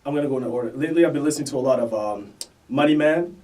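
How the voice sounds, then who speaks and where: A young man talks easily about his music, loose and unhurried, with plenty of fillers. His voice is mellow and soft-edged, and it sits a little back from the mic. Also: mid-range voice, distant mic. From Canada. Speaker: guest rapper. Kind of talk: casual interview chat.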